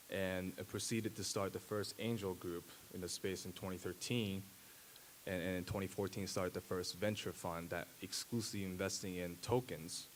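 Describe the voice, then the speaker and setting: A man in his thirties, a native Japanese speaker, giving a conference talk at a podium with a handheld mic, pausing often with fillers and restarts. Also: sits low, slight background noise. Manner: halting, many fillers and restarts. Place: large dark auditorium stage.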